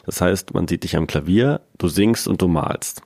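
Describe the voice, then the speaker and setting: A man's voice: low voice, moderate pace, gravelly, a little mellow, no room sound, studio quality. A man hosting a podcast interview, chatty and teasing, often stumbling over his words. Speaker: podcast host. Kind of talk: casual interview chat.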